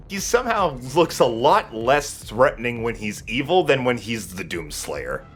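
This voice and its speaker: A man's voice: mid-range voice, very animated, unhurried, fairly bright tone, faint music behind. A man chatting casually and jokingly as he commentates on a video game, swearing freely. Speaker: let's play commentator. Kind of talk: casual gaming chat with co-host.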